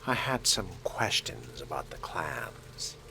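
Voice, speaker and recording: A man speaking in character as he plays a role-playing video game, with a deliberate, measured delivery. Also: on the low side, very animated, creaky, pushed and bright, a little hiss or hum. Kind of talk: in-character role-play dialogue.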